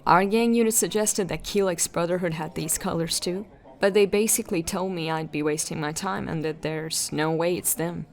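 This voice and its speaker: A woman acts out a female police detective in a sci-fi audio drama. Her voice is creaky and a little low. She is dry and resolute, speaking tersely like someone set on following a lead. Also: steady tone, moderate pace, lightly acted. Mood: dry, probing.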